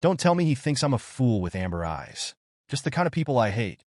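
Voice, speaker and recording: A man's voice: mid-range voice, sing-song, a little fast, darkish tone, no room sound, studio quality. A man voicing a composed, weary young mage from a manga in a restrained, brooding manner.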